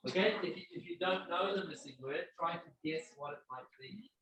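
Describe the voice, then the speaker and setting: A man's voice: fairly high pitch, brisk, a bit bright, slight background noise. Man teaching in an echoey room. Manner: coaxing, questioning students. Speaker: teacher leading a class. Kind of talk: interactive classroom talk. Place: classroom. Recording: echoey room, distant microphone.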